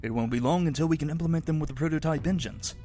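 A man's voice: on the high side, very fast, mellow, faint music behind, close to an everyday voice. A man acting as an earnest research scientist reporting to superiors in a sci-fi audio drama. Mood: earnest, eager.

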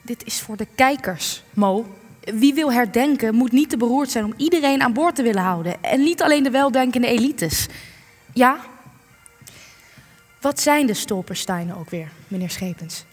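Radio play role: polite, firm young female interviewer, fairly high pitch, long hall reverb. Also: animated, normal speed, faint music behind. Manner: polite but insistent. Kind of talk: Dutch radio play acting.

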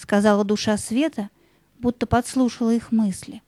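A woman narrating a scripted fairy tale in a gentle, lyrical storytelling manner. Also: on the high side, steady tone, normal speed, dry recording.

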